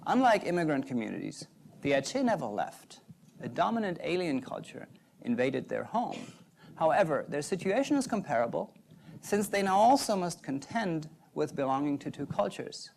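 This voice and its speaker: A young adult man, a native German speaker, giving an earnest prepared talk in measured phrases. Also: a little high, sing-song, creaky, a little mellow, slight background noise. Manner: earnest, measured, pauses between short phrases. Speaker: doctoral researcher giving a talk.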